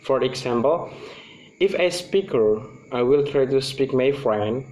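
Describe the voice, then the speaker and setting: A young man from Indonesia with an Indonesian accent gives a class presentation in English, his second language. He speaks haltingly and often searches for his words. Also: mid-range voice, expressive, darkish tone, poor audio. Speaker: student presenter.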